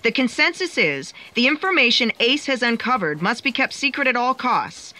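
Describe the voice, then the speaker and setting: Ominous lady narrator of fate, highish sharp voice, formal, sci-fi radio play. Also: sing-song, moderate pace. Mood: portentous. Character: officious, ominous female editor of Book of Destiny. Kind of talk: dramatic scripted narration, sci-fi audio drama.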